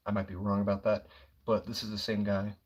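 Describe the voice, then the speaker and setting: Quiet young guy streaming, kinda husky, laid back and rambly. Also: fairly low pitch, fairly quick. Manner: quiet, relaxed, rambling with many fillers. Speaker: comic book streamer. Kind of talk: casual livestream chat.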